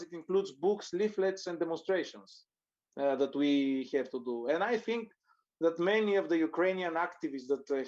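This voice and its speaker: A man speaks as an interview guest, pausing often and searching for words. His voice sits fairly high for a man. The sound is dull and lo-fi. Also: a little mellow. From Bulgaria. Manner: thoughtful, hesitant, with frequent fillers. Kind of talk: conversational interview discussion.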